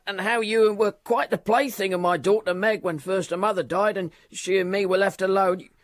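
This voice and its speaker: An actor playing a humble, timid poor father in a radio drama, hesitant and halting, repeating himself as he pleads. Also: sits high, steady tone, no room sound, natural voice. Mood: pleading.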